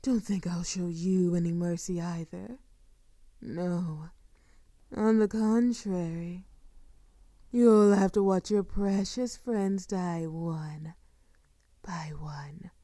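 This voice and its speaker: An actress performs a sadistic female vampire in an audio roleplay, seductive and possessive. Her voice is mellow and close, recorded very dry, with soft murmurs that dip toward a whisper. She takes her time, drawing out phrases with silky, theatrical condescension. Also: fairly low pitch, steady tone, slow, long pauses, dry recording. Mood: menacing, coaxing. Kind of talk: intimate scripted audio roleplay acting.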